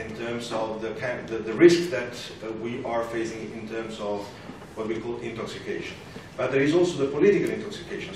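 A man, giving a political round-table address in a halting way, often repeating words as he searches for the next. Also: mid-range voice. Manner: halting, hesitant delivery with frequent repeated words. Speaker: panel speaker. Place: large parliament meeting room, indoors. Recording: roomy, distant, noisy conference recording.

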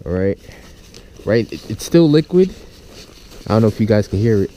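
A man's voice: fairly low pitch, big pitch swings, moderate pace, mellow, slight background noise. A man talking casually and off the cuff to his viewers as an outdoor gear vlogger. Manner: informal, unscripted, addressing viewers. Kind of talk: casual vlog talk. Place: outdoors in a yard, in and around a tent.

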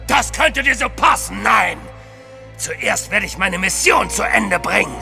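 An actor playing a fanatical traitor in an audio drama, boastful, menacing and theatrical. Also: male voice, high-pitched, expressive, measured pace, creaky, pushed and bright, long reverb tail, soft background music, heavily acted. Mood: furious. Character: fanatical, sneering male villain and traitor. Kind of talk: German audio drama acting.

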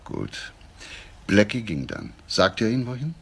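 An actor playing a patient, probing police inspector in a German radio crime drama, measured and polite. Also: male voice, fairly low pitch, sing-song, gravelly, fairly bright tone, slightly rough, full character voice.

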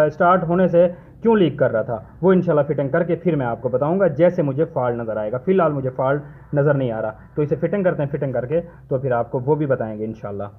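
Mechanic explaining a brake valve repair. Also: male voice, fairly high pitch, phone-quality sound. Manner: explanatory, repeats "theek hai" to check in with the viewer; uses frequent Islamic phrases like inshallah and mashallah. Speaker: truck mechanic. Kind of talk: hands-on repair tutorial. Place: truck repair workshop, indoors.

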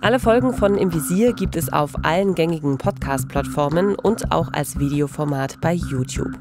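A woman, narrating a true-crime podcast as host in a measured, scripted style. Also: low voice, background noise. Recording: voice over music bed.

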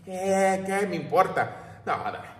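A man's voice: sits high, expressive, slow. A middle-aged Salvadoran man giving a brash, slangy, emphatic opinion rant in an informal style. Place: small home room with shelves, indoors. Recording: roomy echo, distant mic.

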